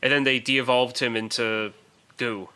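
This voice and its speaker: A man's voice: mid-range voice, level tone, measured pace, pushed and bright, clean tone. A man co-hosting a podcast, talking casually and conversationally about films. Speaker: podcast co-host.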